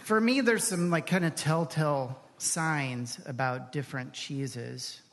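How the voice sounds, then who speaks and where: A man's voice: fairly high pitch, measured pace, gravelly, fairly bright tone, a little hiss or hum. A man speaking as an interview guest, explaining things in a casual, informal, easygoing way. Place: large hall, live radio show. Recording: reverberant live hall.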